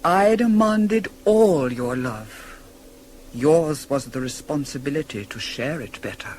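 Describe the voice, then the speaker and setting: Aloof god in radio tragedy, solemn, slowish, highish voice, faint hiss. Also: male voice, lively intonation, a little mellow. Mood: stern, reproachful. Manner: solemn, measured, incantatory. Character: cold, stern deity, aloof from human grief. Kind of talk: classical tragedy radio acting. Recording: hissy 1980s cassette of radio play.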